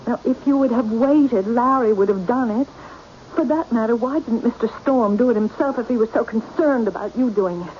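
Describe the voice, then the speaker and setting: A woman's voice: a little high, normal speed, lightly acted. An actress playing a protective, suspicious middle-aged mother who works as a cook and scolds in an old radio drama. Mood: disapproving. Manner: scolding, hesitant when broaching delicate warnings. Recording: dull, noisy vintage radio drama recording.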